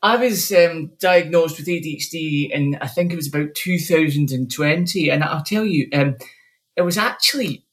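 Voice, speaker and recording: A man's voice: on the high side, normal speed, a bit bright. A man with a Glasgow accent, chatting on a podcast as a new co-presenter, talking in a loose, rambling way with lots of fillers. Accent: Glaswegian. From Scotland. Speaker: guest co-presenter, charity ambassador. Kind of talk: casual podcast chat.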